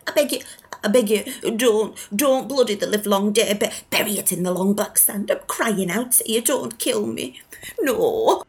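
A woman playing a husky, raving old street woman. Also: medium pitch, moderate pace. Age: older. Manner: ranting, pleading, swinging between shouts and whispers.

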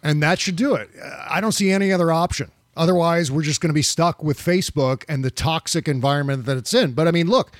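Middle-aged male podcast host, casual and profane, slightly high voice. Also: quick pace, hardly any pauses, no echo, studio quality. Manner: conversational, profane, many fillers. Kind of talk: casual political chat.

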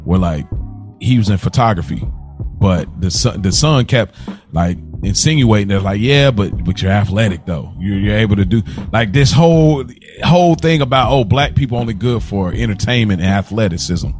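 A man's voice: lowish voice, animated, normal speed, darkish tone. A man co-hosting a podcast, explaining his views in casual conversation with emphatic, repeated phrasing. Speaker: podcast co-host. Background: background music.

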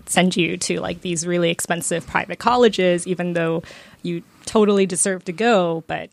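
A woman talking casually in a group discussion, telling personal observations in a halting, filler-heavy way. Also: fairly low pitch, steady tone, vocal fry. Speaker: book discussion panelist. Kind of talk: casual chat, personal anecdotes.

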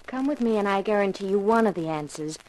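A woman's voice: medium pitch, lively intonation, fast, a little mellow, slight background noise, close to an everyday voice, low-quality recording. Woman playing a coy, lilting femme fatale. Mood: intent, mysterious. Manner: coy, self-assured. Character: poised, coy, alluring young female femme fatale. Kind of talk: 1950s radio drama acting.

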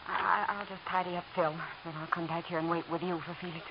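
A woman's voice: fairly low pitch, little pitch movement, quick pace, close to an everyday voice. Woman doing an anxious young woman, mid voice, hissy old radio. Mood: worried. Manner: anxious, soft. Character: worried young woman secretary. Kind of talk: 1940s radio drama acting. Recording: noisy dull old radio broadcast.

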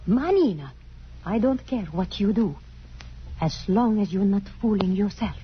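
A woman's voice: medium pitch, lively intonation, unhurried, slightly rough. An actress playing a blunt, disapproving woman friend who scolds emphatically in a theatrical radio drama style. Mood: stern, disapproving. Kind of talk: 1950s radio drama acting. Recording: muffled, noisy old radio broadcast.

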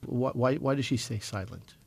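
A man hosting a radio book interview, asking steady, measured questions and backing them up with brief affirmations. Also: medium pitch, creaky, husky. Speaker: radio host.

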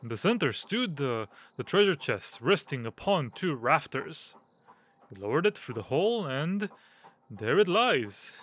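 A man playing a frail, fussy, self-important gentleman hypochondriac in an audio drama, speaking formally with halting hesitations. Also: fairly high pitch, very animated, full character voice. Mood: excited, proud.

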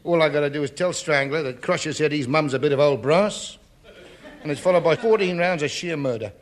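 An actor playing a shady, breezy Cockney wrestling promoter in 1950s British radio comedy, talking fast in matter-of-fact slang. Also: male voice, fairly high pitch, close to an everyday voice. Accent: London Cockney. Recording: noisy old radio recording.

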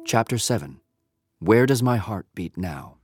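A man's voice: on the low side, lively intonation, a little slow. A man narrating a scripted audio drama in a storytelling style. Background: music.

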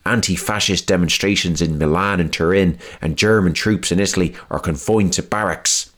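Actor as a British army corporal, man, very low voice, measured, observant, wartime diary drama. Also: lightly acted.

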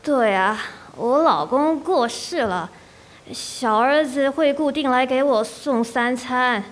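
A woman's voice: sits high, expressive, slow pace. A woman voicing an old blind landlady in a student radio drama, frail and chatty but scheming underneath. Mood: calm, frail. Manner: shifts from frail chatter to sharp indignation. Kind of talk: amateur radio drama acting.

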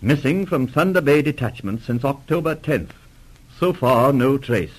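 Blustering old English lord, swooping pitch. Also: male voice, animated, unhurried, rough recording. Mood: indignant. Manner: pompous, spluttering, indignant. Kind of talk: 1940s radio drama acting.

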